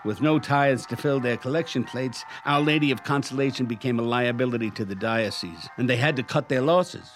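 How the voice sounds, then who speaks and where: A man's voice: mid-range voice, a little fast, hardly any pauses, natural voice. An actor playing an aging, crafty and haughty male lawyer in a radio drama, with pompous, theatrical phrasing. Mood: amused, reminiscing. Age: older. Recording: music bed behind the voice.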